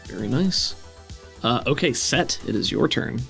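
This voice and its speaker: Encouraging male game master, slightly creaky voice. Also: medium pitch, animated, measured pace, a bit bright, soft background music. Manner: encouraging, affirming. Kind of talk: casual tabletop game narration and rules talk.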